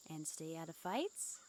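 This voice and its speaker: A woman's voice: low voice, no echo, heavily acted. A woman voicing a stern but caring teenage schoolgirl, scolding yet concerned, in a fan dub of a visual novel game. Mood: worried, softer.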